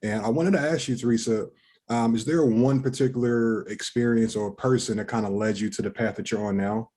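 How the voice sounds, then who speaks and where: A man's voice: mid-range voice, steady tone, a little fast, warm tone. An American man from Philadelphia hosting a conversational interview. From North Philadelphia, United States. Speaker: interviewer, host.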